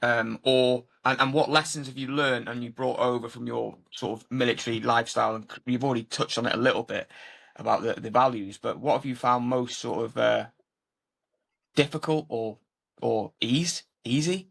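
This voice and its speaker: A man co-hosting a podcast, asking a long question in a halting way, rephrasing as he goes. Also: medium pitch, steady tone, creaky, fairly bright tone. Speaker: podcast co-host. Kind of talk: interview questions.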